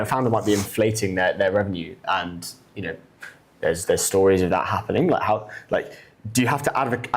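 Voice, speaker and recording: A man hosting an interview, asking loose, rambling questions and reacting with enthusiasm. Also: fairly low pitch. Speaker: podcast host. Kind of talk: casual interview.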